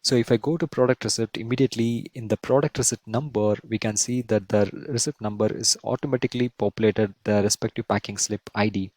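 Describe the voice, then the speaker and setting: Low-voiced man giving a software tutorial, dry sound. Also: steady tone, fast, hardly any pauses, dry recording. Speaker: software instructor. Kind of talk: screen-share tutorial, explaining step by step.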